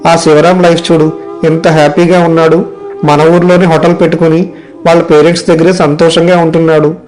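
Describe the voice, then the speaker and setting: A man playing a weary, worried young software worker and dutiful son in a story dialogue, plaintive and complaining. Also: a little high, level tone, fairly quick, soft background music, close to an everyday voice, rough recording. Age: young adult. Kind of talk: animated moral story dialogue.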